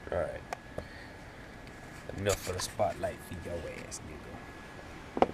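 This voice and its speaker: A man's voice: low-pitched, fairly even intonation, slow, very noisy. A man talking casually in a rambling vlog, profane and slangy, thinking aloud and poking fun at himself. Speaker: vlogger, amateur music producer. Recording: loud music behind the voice. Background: music.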